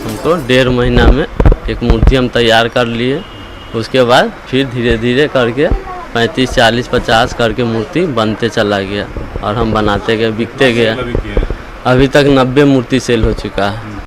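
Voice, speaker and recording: A man's voice: mid-range voice, fairly even intonation, measured pace, music in the background, low-quality recording. A man with an Indian accent speaking informally as a craftsman in a news soundbite. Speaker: sculptor.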